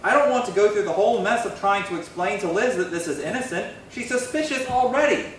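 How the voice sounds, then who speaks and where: A man's voice: high voice, lively intonation, fast talker. A man playing a huffy, easily flustered husband in a 1950s radio comedy, recorded from afar in an echoey hall. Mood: exasperated. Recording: echoey hall, distant mic, faint noise.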